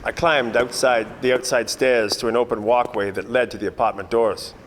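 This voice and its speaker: A man's voice: mid-range voice, steady tone, normal speed, natural voice. A man playing a wisecracking, cocky 1940s private detective with wry, world-weary slangy delivery in a radio noir parody. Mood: wry narration. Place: theatre production shot before green screen. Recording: echoey hall, faint noise.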